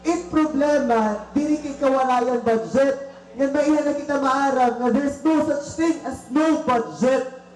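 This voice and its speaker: Young male Filipino activist, Waray accent, strikingly high voice, impassioned speech in echoey sound. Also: measured pace, darkish tone. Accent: Filipino, Waray region. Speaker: student protest speaker. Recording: echoey rally recording.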